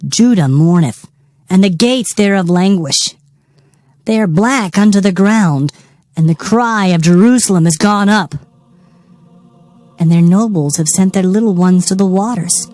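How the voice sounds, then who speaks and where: A woman's voice: mid-range voice, a little slow, a little mellow, no echo, clean studio sound. A woman narrating dramatized scripture in a solemn, declamatory manner. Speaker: Bible narrator.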